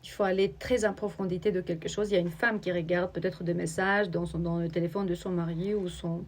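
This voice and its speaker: A woman giving a spiritual oracle card reading, talking straight to the viewer in a searching, halting way. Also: lowish voice, steady tone.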